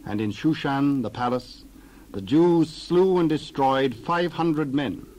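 A man narrating a dramatized scripture reading in a measured, formal delivery. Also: a little high, darkish tone, slight background noise, poor audio.

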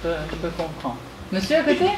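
A woman's voice: fairly low pitch, big pitch swings, fast talker. Woman leading a roomy group meeting. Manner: brief encouraging replies, asks each person to speak. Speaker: conference speaker leading introductions. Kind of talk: casual group discussion. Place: meeting room with a seated audience. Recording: roomy sound from a distant camera with loud background noise.

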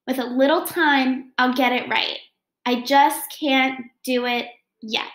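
Woman reader as a storybook little girl, very high, plaintive and earnest, echoey room. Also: fairly even intonation, takes it slowly, a bit bright, exaggerated voice. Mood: determined. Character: young girl, doubtful but determined.

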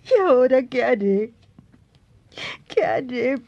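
A woman's voice: sits high, lively intonation, slow, a little mellow, no echo, exaggerated voice. A woman acting a distraught, plaintive mourner in an old radio drama, on a dull, noisy recording. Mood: grieving. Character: distraught grieving woman, helpless and lamenting.

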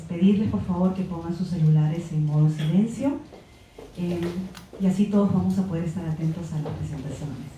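A woman with a low, mellow voice makes polite announcements into a handheld mic at a formal event. Her voice is heard from a distance across an echoey room. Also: a little hiss or hum. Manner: polite, with filler pauses. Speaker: event moderator. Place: reception room at an embassy, indoors. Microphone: handheld microphone at a lectern.

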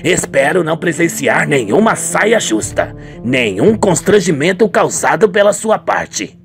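A man's voice: a little high, sing-song, a little slow, soft background music. A man playing a doubtful, watchful father in an amateur Brazilian radio soap, questioning and admonishing. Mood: skeptical. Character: doubtful, watchful middle-aged father of a grown daughter.

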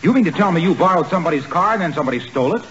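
A genial, smooth-talking announcer, easing into a salesman's pitch. His voice sits fairly high and moves lively up and down. It comes through a dull, faintly hissing 1940s radio broadcast. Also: rapid-fire. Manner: smooth salesman's delivery sliding into a product pitch. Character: genial announcer, a pal who dodges trouble. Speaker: show announcer. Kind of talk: 1940s radio sitcom acting.

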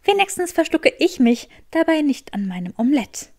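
Audiobook role: bossy, flustered young woman, put on by a woman reader, raised pitch, snappy and indignant. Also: very animated, normal speed, darkish tone.

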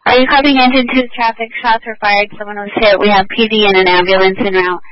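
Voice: mid-range voice, steady tone, quick pace. A dispatcher speaking clipped, procedural emergency traffic over a two-way radio. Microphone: radio dispatch microphone. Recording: dull lo-fi radio transmission.

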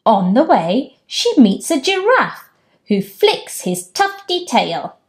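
Slow, lively woman reading a picture book. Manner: gentle, expressive storytelling with raised-pitch character quotes. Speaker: storytime host. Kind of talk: children's picture book read-aloud. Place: home room with bookshelves, indoors.

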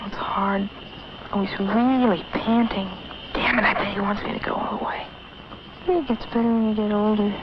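A woman's voice: mid-range voice, steady tone, moderate pace, close to an everyday voice. A young woman voicing the anxious inner monologue of a teenage girl on a date, in nervous half-whispers. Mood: worried. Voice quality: hushed, half-whispered thoughts. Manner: nervous, self-doubting, rambling. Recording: dull old film soundtrack with hiss.

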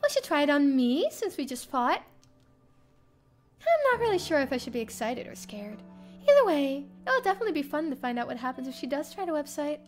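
A high-voiced woman narrates a first-person story in an expressive, theatrical way. Her intonation swings widely as she reads. Music plays softly behind her. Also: brisk. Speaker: streamer reading a visual novel aloud. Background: game music.

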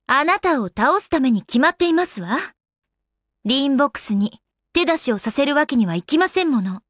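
Elegant, high-voiced young goddess lady. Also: expressive, brisk, fairly bright tone, dry recording, clean studio sound. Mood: defiant. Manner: polite, ladylike, genteel phrasing. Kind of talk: Japanese anime game voice acting.

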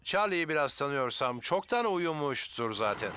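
Bright, capable firefighter hero. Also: male voice, fairly high pitch, very animated, normal speed, dry recording. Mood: encouraging. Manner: helpful, clear, encouraging. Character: kind, capable adult male cartoon firefighter hero. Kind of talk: animated cartoon dub acting.

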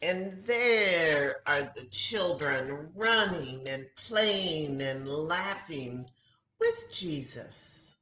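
Slow-talking older woman teaching children. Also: low-pitched, highs cut off. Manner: expressive, simple phrasing addressed to children, with pauses. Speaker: children's story time host and Bible teacher. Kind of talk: storytelling and craft lesson for young children. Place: room at home beside a kitchen, indoors. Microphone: laptop or webcam mic at arm's length. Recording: roomy, echoey home webcam sound.

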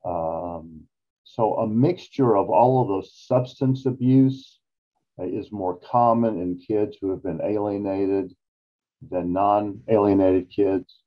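A man in his sixties explaining things as an expert guest in a measured, emphatic way on a video call. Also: fairly low pitch. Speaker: psychologist interview guest. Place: small office, indoors. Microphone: video call computer microphone. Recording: dull, lo-fi video-call audio.

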